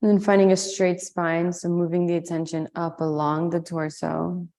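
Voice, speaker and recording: Low, calm woman guiding meditation. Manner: slow, calm, soothing instructions with long pauses. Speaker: meditation and yoga teacher. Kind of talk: guided meditation.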